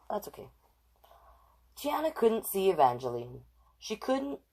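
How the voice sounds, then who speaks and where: Low-pitched woman reading a storybook aloud. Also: low-quality recording. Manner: expressive, chatty, addresses live viewers between passages. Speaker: storytime host and drag king reader. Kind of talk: reading a children's picture book aloud with chatty asides.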